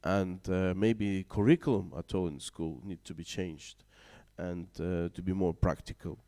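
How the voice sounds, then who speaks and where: A man chairs a panel discussion at a conference, his voice low-pitched and mellow. He talks in halting English, with frequent pauses and fillers as he hands the floor around. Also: steady tone. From Baltic region. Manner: hesitant, many fillers, non-native phrasing. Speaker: moderator. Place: conference room.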